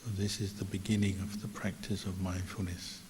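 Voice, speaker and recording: A man teaching guided meditation as a Buddhist monk, calm and measured, with long pauses between phrases. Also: sits low. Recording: echoey hall reverb with clear hiss.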